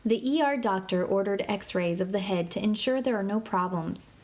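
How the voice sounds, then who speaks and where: A woman giving scripted instructional narration for an interactive kids' medical app, in an even, clear, measured way. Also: mid-range voice, noisy background.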